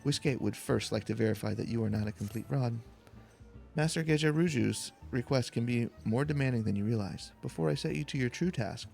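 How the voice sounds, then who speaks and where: A man acts out an imperious old-soldier type from a fantasy game, handing down orders in formal, condescending speech. The voice is mid-pitched with a gravelly creak, over faint music. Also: darkish tone, close to an everyday voice. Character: haughty, demanding male former mercenary captain giving errands. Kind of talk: fantasy game dialogue read aloud.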